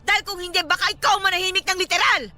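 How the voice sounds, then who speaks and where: Very high, sharp, desperate Filipino mother. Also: steady tone, fast talker, full character voice. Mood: bitter, sarcastic. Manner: heated, sarcastic, emphatic. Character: desperate, angry young mother confronting her cruel rival. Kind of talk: Filipino radio drama acting. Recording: dramatic music bed behind the voice.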